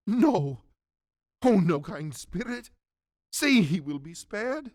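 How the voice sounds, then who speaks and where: A man performs a fretful old miser in a radio play, pleading anxiously. His pitch swings widely, and the sound is close and dry. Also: sing-song, slow pace, a little mellow, no echo, full character voice. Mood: distressed pleading. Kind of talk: stage-style radio drama acting.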